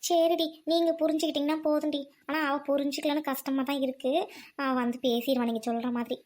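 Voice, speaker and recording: A hot-tempered older village woman acted by a woman from a village drama. She speaks high and quickly, in a bossy, colloquial, questioning way. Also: flat delivery, a little mellow, close to an everyday voice. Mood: softened, regretful. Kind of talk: village comedy-drama acting.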